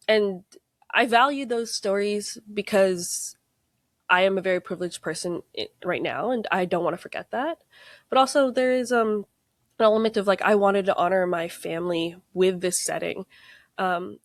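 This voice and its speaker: A woman's voice: medium pitch, no room sound, studio quality. A woman with an American accent, a game co-creator chatting casually in an interview, rambling with many fillers and frequent laughs. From United States. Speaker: tabletop game co-creator, guest.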